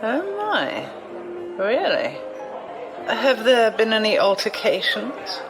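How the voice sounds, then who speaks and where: A woman's voice: on the high side, huge pitch swings, slow pace, music in the background, exaggerated voice, poor audio. An actress playing a sly, amused, commanding old noblewoman in an audio drama, with refined, teasing, theatrical delivery. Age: older.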